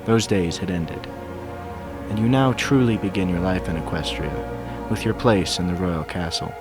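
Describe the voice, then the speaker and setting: Low-voiced man narrating a story over music. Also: normal speed. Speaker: fan fiction reader. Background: background music.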